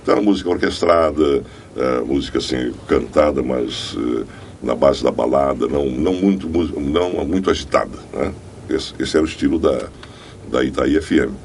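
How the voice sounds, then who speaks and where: A man's voice: extremely low pitch, expressive, moderate pace, creaky, a little mellow, slight background noise. An older man speaking hesitantly as an interviewee, hedging his words, recorded from some distance.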